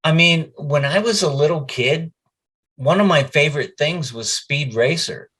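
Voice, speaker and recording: Slow-paced middle-aged man chatting. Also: on the high side, fairly bright tone, breathy edge. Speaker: voice actor being interviewed. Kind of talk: casual anecdotal interview chat.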